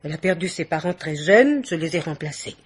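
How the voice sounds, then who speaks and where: An actress playing a dignified, guarded middle-aged guardian aunt in a French radio mystery play, speaking with polite haughtiness. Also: female voice, sits low, expressive, a little fast, slightly rough. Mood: modest, evasive. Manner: polite, haughty, carefully articulated indignation.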